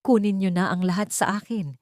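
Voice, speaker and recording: A woman's voice: medium pitch, a little fast, darkish tone, no room sound, studio quality, lightly acted. A woman voicing an anguished, desperate mother, proud but pleading, in an audio story.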